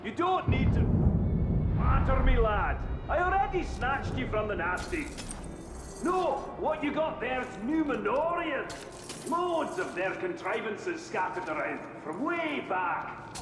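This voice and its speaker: An actor playing a cheerful, eccentric dwarf tinkerer in a video game, with a wry, folksy, teasing way of talking. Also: male voice, very high voice, sing-song, takes it slowly, noisy background, heavily acted. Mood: jovial, teasing. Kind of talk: video game cutscene acting.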